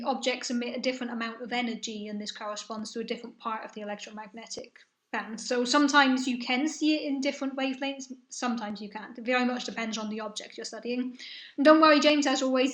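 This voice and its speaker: A woman's voice: fairly high pitch, echoey room. Chatty young woman with mixed accent. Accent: mixed British-European. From southern England, raised in Spain. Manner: chatty, reassuring, friendly explaining. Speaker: science livestream host. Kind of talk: casual live chat reading viewer questions.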